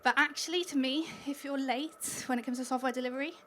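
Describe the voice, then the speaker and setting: Welsh woman, conference speaker, slightly higher pitch, upbeat and chatty, light room ambience. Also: fairly even intonation, fast talker, fairly bright tone, slight background noise. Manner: upbeat, chatty, laughs between points. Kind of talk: conference talk. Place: conference hall stage.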